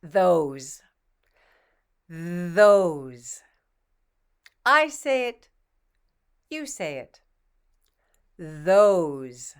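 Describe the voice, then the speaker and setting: Female pronunciation coach, very slow and patient, smooth clear voice, slightly low. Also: sing-song. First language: English. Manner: models each word twice, patient and encouraging. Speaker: pronunciation teacher. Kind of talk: slow, deliberate pronunciation drill.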